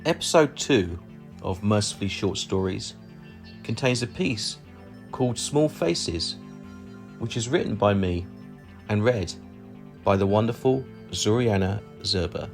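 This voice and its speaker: A man's voice: fairly low pitch, lively intonation, measured pace, gravelly, long pauses. A man reading a scripted introduction as the host of a story podcast, with guitar music behind him. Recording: music under the voice.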